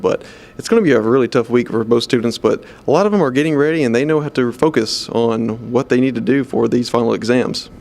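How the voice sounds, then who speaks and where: A man's voice: medium pitch, a little mellow. Young man reporting local news. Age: young adult. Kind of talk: scripted news reporting to camera and voice-over.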